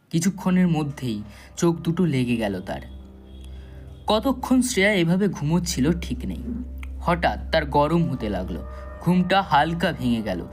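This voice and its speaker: A man narrating a scripted thriller story in a dramatic, suspenseful storytelling manner. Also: a little high. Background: background music.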